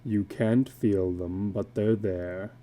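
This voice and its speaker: Male reader as weary middle-aged drifter, very dark tone, dragging pace, resigned, dramatic storybook. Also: fairly low pitch, steady tone, pitched below the performer's own voice. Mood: haunted, sorrowful. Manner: slow, heavy, resigned delivery. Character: weary, heavyset tattooed carnival drifter, a middle-aged man.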